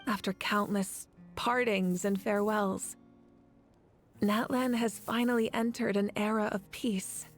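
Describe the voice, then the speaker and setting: An actress playing a solemn, resolute woman who leads a nation, speaking in measured, earnest and formal lines with tender grief. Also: medium pitch, fairly even intonation, natural voice. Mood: tender, reflective. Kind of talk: dramatic video game voice acting. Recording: orchestral game music behind.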